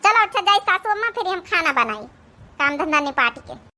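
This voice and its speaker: A woman's voice: extremely high pitch, very animated, a little fast, pushed and bright, full character voice. A woman voicing a dutiful young village daughter-in-law, agreeable and chatty, with an Awadhi accent in a comic cartoon.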